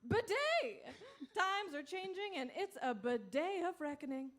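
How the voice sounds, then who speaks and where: Pushy saleswoman, high voice, peppy, exclamatory, parody commercial pitch. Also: very animated, unhurried, heavily acted. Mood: peppy, salesy.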